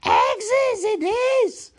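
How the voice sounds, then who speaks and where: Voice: very high-pitched, voice pushed up, exaggerated voice. A reader voicing a wheedling, hungry cave creature in a storybook, hissing and whispery, drawing out words and muttering to itself. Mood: hissing, insistent. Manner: drawn-out, repeats words, talks to itself.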